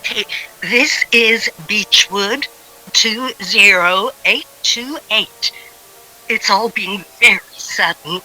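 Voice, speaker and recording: A woman's voice: mid-range voice, bright, forceful tone, rough recording. A woman playing a formal, guarded, matter-of-fact figure answering a household telephone in an old-time radio drama.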